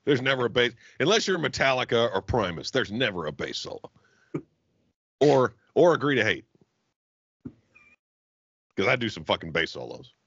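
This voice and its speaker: A man's voice: mid-range voice, very animated, normal speed, long pauses, a bit bright, breathy edge, no echo, muffled recording. A man in his thirties co-hosting a podcast in casual chat, swearing freely and laughing often, over a video call from home. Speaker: podcast co-host. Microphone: studio mic on arm, headphones.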